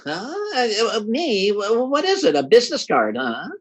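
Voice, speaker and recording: Guy doing folksy old radio husband, big talker, stammers a lot, pitch jumps all over. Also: wildly swooping pitch, normal speed, a crowd in the background. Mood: flustered. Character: blustering, boastful, absent-minded husband with big schemes. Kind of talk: classic 1940s radio comedy acting.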